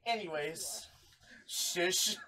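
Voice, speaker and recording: A man's voice: high-pitched, very slow, pushed and bright, low-quality recording. A man hosting a casual hobby show-and-tell, chatting informally with friends. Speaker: video host showing a radio collection.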